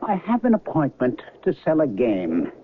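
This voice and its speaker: An actor playing an agitated, bewildered physicist in a radio drama, insistent and flustered. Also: male voice, on the high side, wildly swooping pitch, moderate pace. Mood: flustered, earnest. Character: agitated, bewildered male physicist trapped on mysterious train. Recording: noisy, narrow-band old radio recording.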